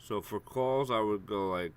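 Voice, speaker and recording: Stuffy low-voiced man calling stock levels. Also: normal speed, smooth. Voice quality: congested, nasal. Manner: casual, repetitive, addresses viewers as guys. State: stuffy head cold. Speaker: stock trading streamer. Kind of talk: live premarket chart walkthrough.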